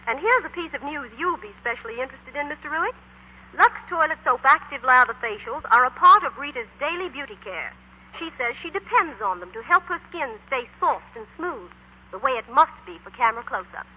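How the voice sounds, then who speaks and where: A woman's voice: high voice, expressive, brisk. A woman radio spokesperson delivering scripted 1940s advertising chat. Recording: muffled, noisy old radio broadcast.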